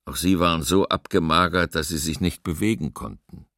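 A somber survivor of an occupied city in a radio docudrama. His voice is extremely low, close and dry, and his testimony is deliberate. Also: a bit bright, no echo. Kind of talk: documentary radio play, scripted testimony.

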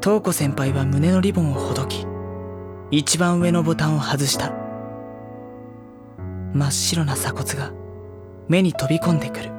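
A woman voices a teenage schoolboy in an audio drama, slightly low for a woman. He is boyish, polite and dryly blunt, an exasperated junior who also narrates. Music is clearly audible behind the voice. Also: normal speed, pauses often, lightly acted. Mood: quiet narration. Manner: boyish, dry.

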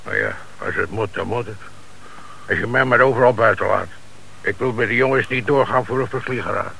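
A man's voice: lowish voice, a little fast, gravelly, bright, forceful tone. An actor in a Dutch radio play playing a shifty small-time crook who deals in cars, speaking hesitant, slangy street talk and hedging his answers. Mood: reluctant. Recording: noisy old radio play recording.

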